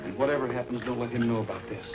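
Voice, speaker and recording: An actor playing a steady, determined cowboy hero in an old western, plain-spoken and firm. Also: male voice, mid-range voice, racing, over music, close to an everyday voice. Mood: earnest, steady. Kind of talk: old western film acting. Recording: muffled old film soundtrack, clear noise.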